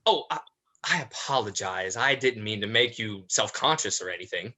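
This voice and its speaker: A man playing an anxious, awkward eldest brother turned killer in a horror play reading, rambling and halting in delivery. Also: on the low side, lively intonation, pushed and bright, exaggerated voice. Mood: awkward, nervous.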